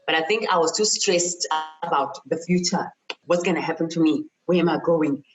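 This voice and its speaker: A woman's voice: low voice, moderate pace, over music, rough recording. A middle-aged woman with a South African accent, speaking in a reflective, personal interview style, earnest and emphatic, repeating phrases for stress. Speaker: university chemist and lecturer, interview guest.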